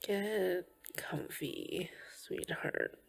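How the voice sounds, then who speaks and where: A tender girlfriend voiced by a woman soothes her partner in an ASMR roleplay. Her voice is slightly low and husky-soft, often near a whisper. She takes her time, speaking very slowly with gentle, reassuring care. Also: heavily acted. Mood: soft, affectionate. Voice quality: breathy, often slipping into whispers. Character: tender, caring girlfriend comforting a tired partner.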